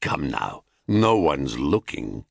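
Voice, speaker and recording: A lecherous, wealthy male seducer, put on by a narrator, with a low, hushed delivery. He flatters smoothly and presses hard, a forceful suitor in a storybook myth, heard in a dry recording. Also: takes it slowly, dry recording, heavily acted. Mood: seductive, pressing. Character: husband disguised as forceful, lecherous rich stranger.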